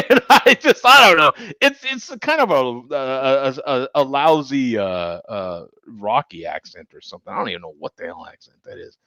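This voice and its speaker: A man's voice: mid-range voice, big pitch swings, normal speed, bright, forceful tone, no echo. A man hosting a casual beer show, rambling, laughing and chatting with viewers, a bit tipsy. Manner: rambling, laughs often, talks to chat viewers. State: tipsy from drinking. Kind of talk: casual live-stream chat.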